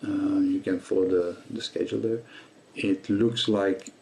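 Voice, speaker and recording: A man presenting a guitar gear tutorial in a casual, explanatory way, with halting delivery and frequently repeated words. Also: low voice, little pitch movement, creaky, a little mellow.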